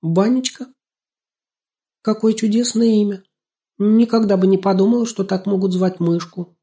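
A man's voice: on the high side, normal speed, mellow, voice pushed up, lightly acted. A man voicing an affectionate, curious, easily frightened young girl with a ringing, tender voice in a storybook. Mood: amazed. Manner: exclaiming, full of questions.

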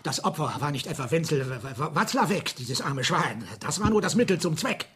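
A man's voice: fairly high pitch, big pitch swings, fairly quick, a bit bright, breathy edge, exaggerated voice. An actor in a German radio play voicing an agitated, indignant man who stammers and insistently repeats himself. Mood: excited, agitated. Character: agitated, indignant man, fussy teetotaller who feels hunted.

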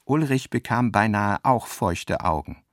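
A man reading scripted literary narration for a radio play in a measured, deliberate manner. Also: on the low side, lively intonation, no echo, clean studio sound.

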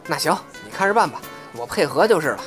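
A man's voice: a little high, sing-song, quick pace, a bit bright, soft background music. A man playing an easygoing, cheeky young watermelon vendor in a Chinese audio drama, with a folksy, forthright manner. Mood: keen.

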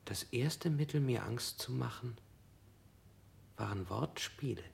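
Gravelly broken prisoner, flat and numb, half-whispered. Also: male voice, medium pitch, slow. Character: battered male prisoner under interrogation. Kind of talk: 1960s radio play acting.

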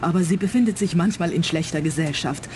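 A woman's voice: lowish voice, fast talker, a little mellow, faint music behind, natural voice. Actress as earnest, worried woman schoolteacher. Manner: earnest, concerned, correct. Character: worried, proper schoolteacher daughter hiring a detective. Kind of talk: radio play dialogue. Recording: faint background ambience noise.

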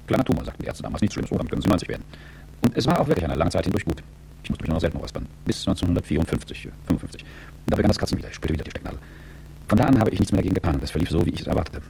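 A low, quick-spoken man in a radio drama, a calculating and world-weary executive looking back on his life. His telling is articulate and reflective, with a gravelly edge. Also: animated, warm tone, slight background noise, rough recording. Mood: reflective, sober. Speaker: narrator and lead character. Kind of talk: radio drama first-person narration.

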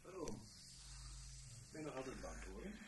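A man's voice: medium pitch, very animated, moderate pace, a little hiss or hum, lightly acted. A man acting in a Dutch radio play as a bitter, taunting agent who talks in a needling, confiding way. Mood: cold, needling.

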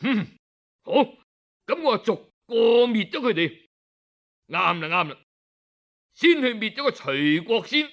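A man's voice: sits high, sing-song, measured pace, long pauses, fairly bright tone, heavily acted. A man voicing an arrogant, hot-tempered, cruel king in a storytelling performance, blustering and imperious. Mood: haughty, decisive.

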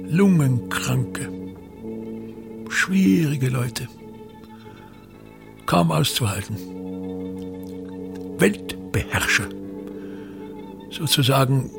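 An older man playing a pompous, embittered touring actor-manager in a radio play, declaiming grandly and with contempt in a gravelly, husky voice. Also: mid-range voice. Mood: aggrieved, resentful. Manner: grandiose, misanthropic, declamatory, repeating himself. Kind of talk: theatrical radio play monologue.

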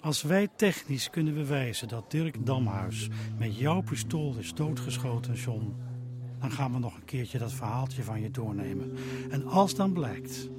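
An actor playing a methodical police detective in a radio drama, questioning in a firm, official manner. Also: male voice, mid-range voice, lively intonation, unhurried, background noise, lightly acted. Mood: pressing. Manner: pressing, official.

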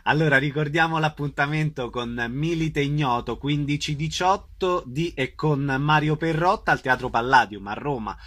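A man's voice: fairly high pitch, normal speed, hardly any pauses, a bit bright. A man hosting a radio interview, addressing his guests informally.

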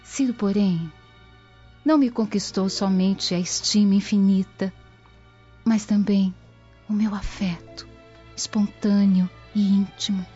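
A woman's voice: mid-range voice, steady tone, a little slow, pauses often, warm tone, slightly rough. An actress playing a devout, lovestruck young Roman noblewoman, reminiscing tenderly and solemnly in a radio drama. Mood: tender, wistful. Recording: dull lo-fi radio drama audio. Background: music.

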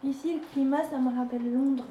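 A woman's voice: high-pitched, fairly even intonation, a little fast, mellow, a little hiss or hum. A woman chatting casually, tossing out playful, teasing remarks. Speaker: participant in group chat. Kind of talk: casual chat. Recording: roomy recording with music underneath.

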